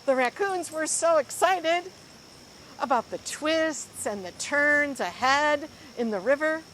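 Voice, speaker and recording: A woman reads a children's story aloud in a fairly high voice. She takes it very slowly, phrasing each line dramatically for young listeners. There is some background noise in the recording. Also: lively intonation, fairly bright tone. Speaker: school librarian reading story time. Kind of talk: expressive children's picture-book read-aloud.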